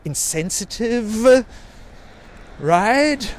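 A man's voice: sits high, lively intonation, very slow, a little hiss or hum. A middle-aged man from South Africa with a South African accent, rambling profanely and self-mockingly in a casual recorded message. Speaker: video message maker. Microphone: handheld recorder.

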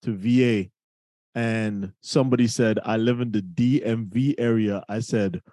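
A man's voice: lowish voice, fairly even intonation, measured pace, warm tone, dry recording. A man co-hosting a podcast, chatting in an informal, joking manner. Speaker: podcast co-host. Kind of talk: casual chat.

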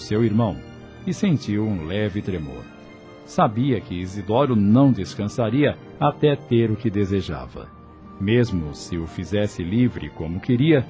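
A man's voice: lowish voice, warm tone. A man with a Brazilian accent reading scripted narration for a radio drama. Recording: music under the voice.

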